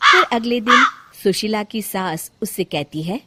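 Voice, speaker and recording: A woman narrating a scripted cartoon moral story in an expressive storyteller's style. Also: medium pitch, unhurried, heavy background noise.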